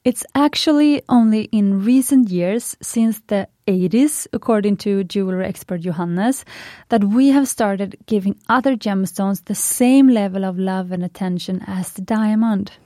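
A woman's voice: medium pitch, a little slow, dark tone, dry recording, clean studio sound. Chatty Swedish-native woman podcast host. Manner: chatty, enthusiastic, fond of puns. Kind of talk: scripted podcast monologue.

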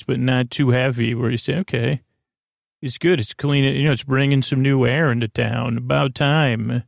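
A man with a creaky, gravelly voice hosts a sleep podcast, gently and reassuringly rambling in a meandering, halting monologue that trails off mid-thought. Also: mid-range voice, no echo, clean studio sound. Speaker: podcast host.

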